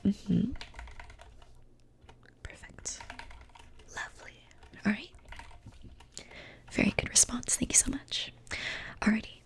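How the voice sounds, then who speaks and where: A young woman whispering softly in an ASMR personality-quiz roleplay, slow and soothing, often repeating phrases. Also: a little high. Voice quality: breathy. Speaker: ASMR host.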